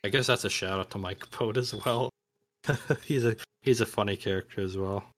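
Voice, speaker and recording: A man's voice: fairly low pitch, normal speed, a bit bright, no room sound. A man chatting casually as a podcast guest, hesitant and self-deprecating. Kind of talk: casual interview chat.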